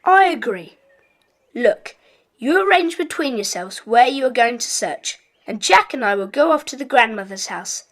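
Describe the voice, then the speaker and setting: A polite schoolboy in charge of his club in a children's audio adventure. The voice is high and lively, with a brisk, decisive manner.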